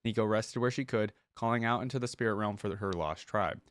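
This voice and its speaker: A man's voice: fairly low pitch, fairly quick, no room sound. A man hosting a reaction video, talking and reading aloud in a casual, conversational way. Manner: conversational, informal. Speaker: YouTube reaction host.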